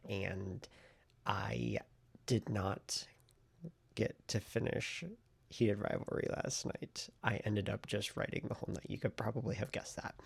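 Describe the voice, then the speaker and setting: Guy doing a chatty writing vlog, super gravelly fry voice, gets whispery sometimes. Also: fairly low pitch. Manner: chatty and excitable, trailing into soft whispery asides. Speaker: writing vlogger. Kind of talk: casual diary-style vlog.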